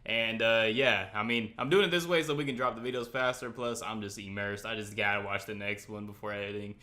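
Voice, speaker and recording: A man doing casual, excited reaction commentary as a host, talking in slang with animated interjections. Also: on the low side, fairly quick.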